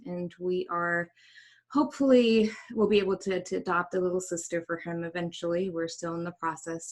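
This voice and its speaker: A woman from Germany, introducing herself as a panelist on a video call. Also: lowish voice. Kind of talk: online forum introduction.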